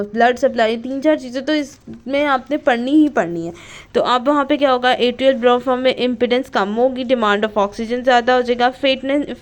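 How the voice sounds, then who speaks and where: A woman with a somewhat high-pitched voice gives an informal lecture. She reads from notes, then explains them in a mix of two languages. She often drops in short tags to check that listeners follow. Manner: frequent check-in tags, switches between Hindi and English. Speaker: physiotherapy lecturer.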